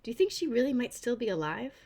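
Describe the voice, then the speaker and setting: A woman's voice: fairly high pitch, big pitch swings, fast talker, a little mellow. A woman voicing an awkward, skeptical twelve-year-old girl in a children's story, terse and questioning. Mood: puzzled.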